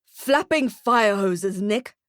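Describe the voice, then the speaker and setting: A woman's voice: mid-range voice, animated, takes it slowly, dry recording, studio quality. A woman voicing an indignant male boss with emphatic, colourful exclamations in an audiobook story. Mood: relieved.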